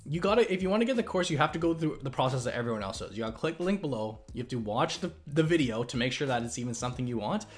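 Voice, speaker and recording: A man hosting a casual question-and-answer chat on investing, talking in a relaxed, rambling way with many fillers and restarts. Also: mid-range voice, very fast, few pauses. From Canada. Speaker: investing channel host.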